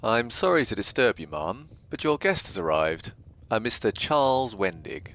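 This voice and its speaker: Podcast butler played by a man: low pitch, very animated intonation, formal and servile, stiff announcements. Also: normal speed, a little hiss or hum. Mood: formal, deferential. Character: formal, deferential male butler announcing guests. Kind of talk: comic scripted butler role.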